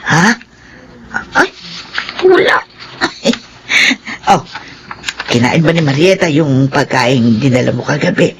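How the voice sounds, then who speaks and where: Timid grandmother in her seventies, hesitant, anxious delivery, animated pitch, faint noise, radio drama. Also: slow pace, long pauses, full character voice. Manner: hesitant, fretful, stammering. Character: timid, self-effacing elderly mother and grandmother, aged seventy. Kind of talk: emotional radio drama acting.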